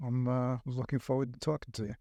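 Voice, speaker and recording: Halting older Brooklyn man, dry studio. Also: medium pitch, level tone, gravelly, warm tone, no room sound. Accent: Brooklyn New York. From Brooklyn, New York. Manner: reflective, halting with many fillers. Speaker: guest. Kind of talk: casual podcast conversation. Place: recording studio. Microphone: close studio mic.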